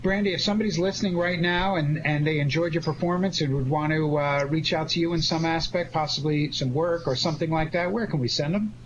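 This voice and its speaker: A man's voice: fairly high pitch, a little fast, hardly any pauses, lo-fi sound. A man hosting a live radio show, talking effusively and conversationally with guests over a call. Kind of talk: live interview with cast after a show. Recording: faintly noisy live internet call.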